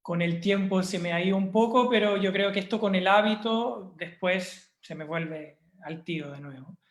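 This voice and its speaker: A man with a Chilean Spanish accent giving a university course presentation over a video call, thoughtful and pausing with fillers and restarts. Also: high-pitched, reverberant. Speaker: university lecturer.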